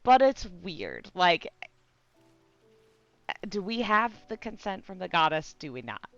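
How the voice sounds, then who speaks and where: Expressive woman streamer with lively pitch. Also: measured pace, lo-fi sound, faint music behind. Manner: slangy, sweary, expressive. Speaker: video game streamer. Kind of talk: casual livestream reaction commentary.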